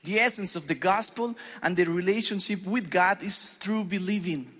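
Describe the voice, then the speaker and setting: A middle-aged Colombian pastor with a Colombian Spanish accent delivers an earnest, measured sermon. His voice sits high for a man, and it rings with the long reverb of a church hall. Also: pushed and bright, husky. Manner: earnest, measured preaching with deliberate pauses on scripture.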